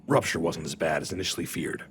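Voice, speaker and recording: Man as a sardonic doctor, very low voice, matter-of-fact, faint background hum in the audio drama. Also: animated, fast. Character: dry, sardonic male doctor.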